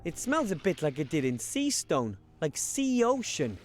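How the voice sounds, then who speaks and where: A timid young gnome from an adventure game, his voice sitting high and swooping all over as he stammers and fumbles along. He sounds hesitant and easily startled. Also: wildly swooping pitch, measured pace, faint music behind. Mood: puzzled. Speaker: game character.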